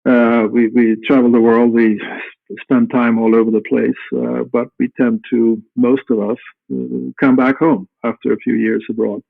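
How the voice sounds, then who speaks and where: A man's voice: medium pitch, flat delivery, gravelly, no room sound. Middle-aged Swedish man on a tinny line. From Sweden. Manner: unhurried, halting with many restarts and fillers. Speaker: guest, company founder. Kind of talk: casual interview answers. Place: home room at night. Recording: tinny phone-like call line.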